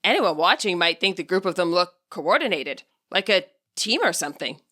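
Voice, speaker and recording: A woman reading a scripted story as its narrator, with a wry, expressive delivery. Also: on the low side, normal speed. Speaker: author narrating own fan fiction audiobook.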